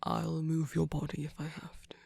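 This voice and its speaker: A man playing a soft, caring vampire boyfriend in an intimate ASMR roleplay, speaking gently with pauses. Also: a little high, completely flat delivery, no echo, exaggerated voice. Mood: soothing, coaxing. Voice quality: soft, breathy.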